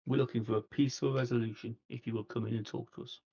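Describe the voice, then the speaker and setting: Gravelly diplomatic vampire envoy played by a man. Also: medium pitch, fairly even intonation, warm tone. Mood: persuasive. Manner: measured, persuasive, formal. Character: earnest, diplomatic vampire envoy seeking a truce. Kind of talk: in-character tabletop roleplay dialogue. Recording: dull lo-fi livestream audio.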